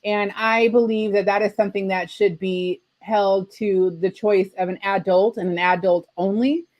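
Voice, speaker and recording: A woman hosting a live discussion, speaking in a measured, deliberate way as she lays out her view. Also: mid-range voice, steady tone, a little mellow, dry recording, muffled recording. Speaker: discussion host.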